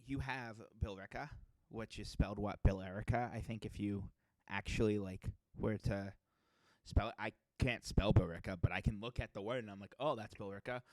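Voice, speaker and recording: Low-voiced man from Massachusetts, dry sound. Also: steady tone, darkish tone, no echo. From Massachusetts, USA. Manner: rambling, self-deprecating, quick asides. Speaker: podcast co-host. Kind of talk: casual chat.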